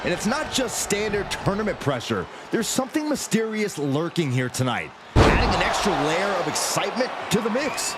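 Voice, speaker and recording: A man's voice: sits high, big pitch swings, normal speed, heavy background noise. A man playing an eager, earnest wrestling color commentator with a polished, enthusiastic delivery in a video game. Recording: loud music and ring thuds.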